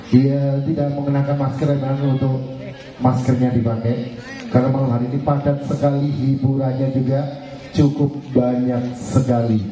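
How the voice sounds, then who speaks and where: A man's voice: mid-range voice, mellow, a little hiss or hum. A middle-aged man working as the MC at a night event, announcing the program in a loose, chatty way through a handheld microphone. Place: outdoor stage at night. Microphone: handheld mic over PA. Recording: distant, echoey PA, lo-fi camera.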